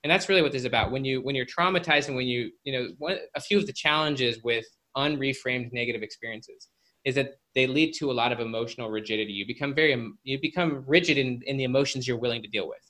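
A man's voice: medium pitch, fast talker, sharp tone, low-quality recording. A man speaking as a guest psychologist in a conversational interview, explaining informally with lots of filler words like "like" and "you know".

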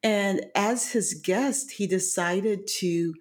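A woman's voice: mid-range voice, takes it slowly. A woman from Haiti whose first languages are Haitian Creole and French, a guest telling her story reflectively with many fillers. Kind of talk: storytelling interview.

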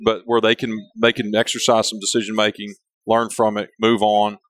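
A man's voice: lowish voice, completely flat delivery, normal speed, fairly bright tone, no echo. A man with a big voice and big personality, talking candidly in a casual interview, rambling and often restarting his sentences. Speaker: company leader, interview guest.

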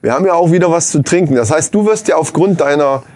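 A man's voice: a little high, fast talker, darkish tone. A man co-hosting a casual podcast, joking around in a loose, crude way. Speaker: podcast co-host. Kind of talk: casual chat. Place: small room, seated across a table. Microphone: handheld audio recorder on a table.

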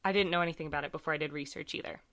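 A woman co-hosting a casual true crime podcast, her voice a little raspy, chatty and earnest with lots of fillers. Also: sits low, steady tone, rapid-fire, a bit bright. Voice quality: slightly raspy from screaming. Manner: chatty, many fillers, earnest about cases. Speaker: podcast co-host.

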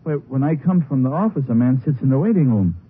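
German widower, swooping pitch, old radio. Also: male voice, expressive, slightly rough. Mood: nervous, defensive. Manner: halting, defensive, broken English. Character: big, stolid, grieving German immigrant widower. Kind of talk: 1950s radio acting. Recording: muffled, noisy 1950s radio recording.

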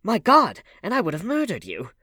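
A man voicing a rough, vengeful sailor in an audiobook, blunt and growling, prone to muttering. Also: on the low side, normal speed, fairly bright tone, dry recording, clean studio sound, lightly acted. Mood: horrified remorse. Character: rough, vengeful working sailor, menacing then shaken.

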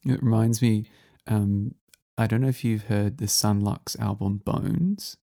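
A low, gravelly man hosting a relaxed music interview. His voice is mellow and dark, and he rambles enthusiastically, full of ums and restarts. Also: a little slow. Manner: rambling, enthusiastic, many fillers. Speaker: podcast host.

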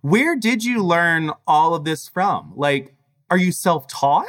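A man's voice: fairly high pitch, very animated, slow pace, a bit bright. A man hosting an interview, asking eager questions and heaping praise on his guest. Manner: effusive, gushing with praise. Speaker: interview host.

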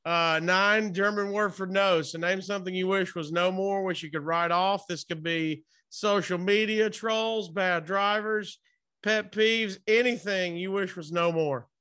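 High, bright-voiced man hosting an interview. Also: steady tone, unhurried, smooth. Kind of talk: casual playful interview.

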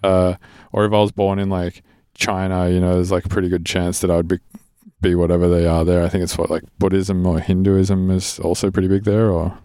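A man's voice: low voice, little pitch movement, brisk, warm tone, smooth, no echo, clean studio sound. An American man chatting casually in a blunt, informal, sweary way. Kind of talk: casual chat.

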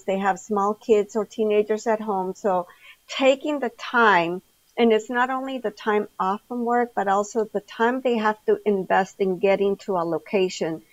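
A woman's voice: mid-range voice, dry recording, muffled recording. A woman community health director explaining programs in an interview clip, with an occasional repeated word.

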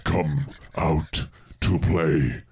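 A man's voice: a bass voice, fairly even intonation, extremely slow, a little mellow, slightly rough, voice pushed down, exaggerated voice. A man voicing an inhuman monster with a crackling growl, taunting and menacingly playful, in a horror story.

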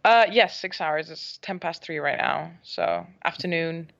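A woman's voice: on the low side, measured pace, vocal fry, a bit bright. A woman in her twenties who grew up in Spain, answering interview questions in an easygoing, upbeat way over a remote call. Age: 20s. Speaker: podcast guest. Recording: muffled lo-fi call audio.

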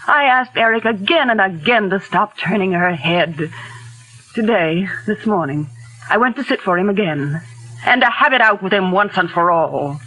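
A woman's voice: mid-range voice, a bit bright, rough recording. An actress playing an anguished, sophisticated mother in a theatrical, intense 1940s radio drama style. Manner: theatrical, intense, earnest delivery. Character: anguished, sophisticated society mother confessing to a shooting. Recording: old 1940s radio recording.

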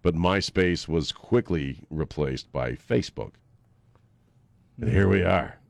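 A man's voice: low-pitched, slow pace, creaky, dry recording. A man hosting a morning talk radio show, stuffed up with a chest cold, wry and jokey as he chats with his co-hosts. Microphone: studio microphone.

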